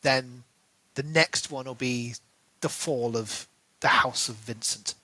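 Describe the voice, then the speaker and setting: A man's voice: medium pitch, slow pace, pushed and bright, no room sound, low-quality recording. A man co-hosting a book podcast, speculating eagerly in a casual, conversational way. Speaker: podcast co-host.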